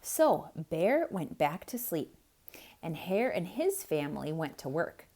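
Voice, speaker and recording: A woman telling a story to children, reading a picture book aloud in a theatrical way. Her delivery is slow and unhurried. Her pitch rises and falls a lot, and she stops now and then to ask questions. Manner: expressive, asking the listener questions. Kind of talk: theatrical read-aloud for kids.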